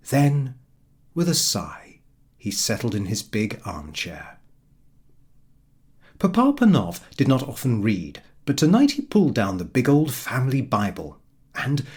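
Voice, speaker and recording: Animated, husky male storyteller. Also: mid-range voice. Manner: expressive, deliberate phrasing. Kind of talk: scripted storybook narration.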